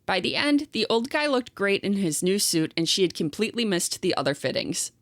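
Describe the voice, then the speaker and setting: A woman co-hosting a podcast, chatting casually and reading online stories aloud in a steady storytelling way. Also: medium pitch, fairly quick, no room sound, studio quality. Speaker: podcast co-host.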